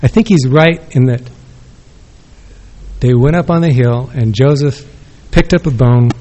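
A man's voice: mid-range voice, a little slow, dark tone. Rambling man at a conference podium. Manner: rambling, self-correcting, conversational. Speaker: conference respondent. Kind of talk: academic conference response. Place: conference room with audience. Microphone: podium microphone.

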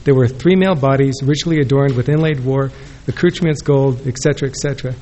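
Male conference respondent at podium mic, conversational and rambling, mid pitch. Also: fast, dark tone. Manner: rambling, self-correcting, conversational. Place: conference room with audience. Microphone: podium microphone.

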